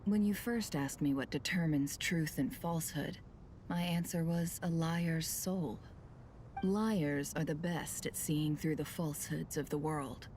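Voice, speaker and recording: An actress voicing a composed, shrewd young woman in a video game, measured and self-assured. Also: low-pitched, a little mellow, a little hiss or hum. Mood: confident. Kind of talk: scripted video game voice acting.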